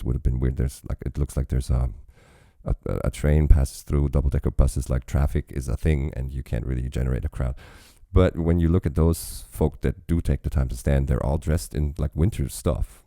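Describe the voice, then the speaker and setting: A man's voice: extremely low pitch, creaky, dark tone, dry recording, clean studio sound. A man in his forties giving casual, rambling commentary while reacting to a music video, thinking aloud with frequent restarts.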